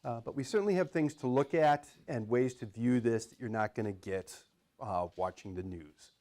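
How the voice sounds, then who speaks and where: A man's voice: medium pitch, moderate pace, darkish tone. A man, an economics professor giving a live talk in a small room, conversational and wry, asking the audience questions. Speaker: economics professor, featured speaker.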